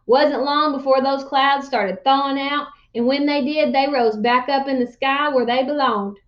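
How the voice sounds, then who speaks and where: A woman's voice: high voice, fairly even intonation, moderate pace, highs cut off, natural voice. A woman voicing a folksy, boastful old man spinning tall tales in a children's storybook read-aloud. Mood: wondrous, animated.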